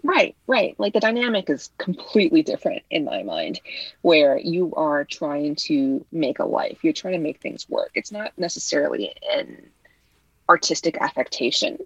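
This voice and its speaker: A woman's voice: low-pitched, sing-song, moderate pace, breathy edge, no echo, dull audio. A woman whose first language is Vietnamese, a guest talking casually and loosely, leaning often on "like" and "you know.". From United States. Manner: chatty, heavy on fillers like "like" and "you know". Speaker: guest writer. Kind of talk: casual conversation.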